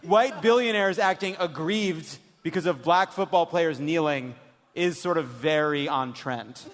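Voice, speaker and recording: A man's voice: on the high side, unhurried, a bit bright. A man hosting a live political comedy panel with sarcastic, profane, emphatic banter. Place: stage in a live venue. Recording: echoey hall, noisy live stage sound.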